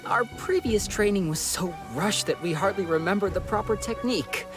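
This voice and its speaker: A man's voice: high-pitched, moderate pace, close to an everyday voice. Man playing earnest young trainee soldier, music behind. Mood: hesitant, hopeful. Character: discouraged, eager young male resistance archer trainee. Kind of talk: video game voice acting.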